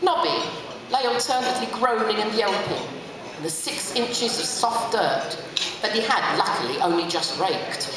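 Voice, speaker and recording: A woman's voice: mid-range voice, moderate pace, a crowd in the background. A middle-aged woman with an English accent gives a theatrical, dramatic book reading aloud to an audience. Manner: expressive, theatrical. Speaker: reader. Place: large hotel function room, on stage. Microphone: stand mic at lectern through PA, filmed from audience. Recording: very echoey hall, audience noise.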